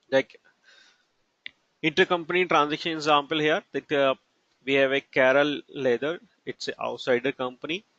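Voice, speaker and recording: A man with an Indian accent teaching as a trainer, explaining examples haltingly in short, broken phrases. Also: a little high, a bit bright, dry recording. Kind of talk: instructional lecture with examples. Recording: dull lo-fi narration, dry.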